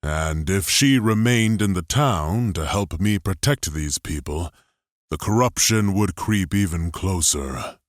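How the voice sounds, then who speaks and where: A male narrator voicing a huge, stoic, weary armored leader who speaks in a grave, measured way. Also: low voice, lively intonation, dry recording, studio quality.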